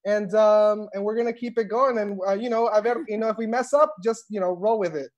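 A man with a notably high-pitched voice hosts a group read-through over a video call. His delivery is casual, moving between chatting and reading stage directions aloud. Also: a little fast, muffled recording.

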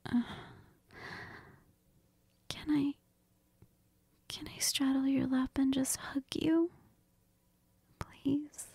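A woman's voice: sits high, level tone, very slow, long pauses, warm tone, no room sound, full character voice. A woman playing a needy, cuddly girlfriend in an intimate audio role-play, whispery and tender, with hesitant murmurs. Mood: soft, pleading. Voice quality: breathy, whispery. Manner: hesitant, giggly, tender, murmuring with Spanish endearments. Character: needy, cuddly, affectionate girlfriend who missed her partner.

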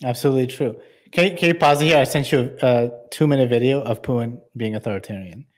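A deadpan man in his thirties chats as a show co-host. He talks fast, in a medium-pitched voice, and keeps things casual. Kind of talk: casual chat. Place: indoors on a video call.

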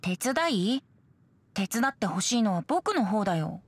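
A woman's voice: medium pitch, very animated, moderate pace, slight background noise, lightly acted. A woman voicing a playful, whimsical young male bard in a video game, with a light, boyish voice. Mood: plaintive, light.

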